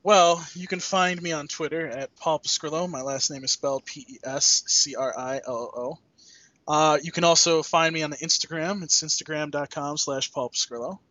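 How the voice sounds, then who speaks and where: A man with a fairly high voice hosts a podcast in a loose, joking style with some salty language. The sound is dry and a bit dull, like a lo-fi voice track. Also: fairly even intonation, normal speed, pushed and bright, no echo. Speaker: podcast host. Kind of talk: casual podcast chat.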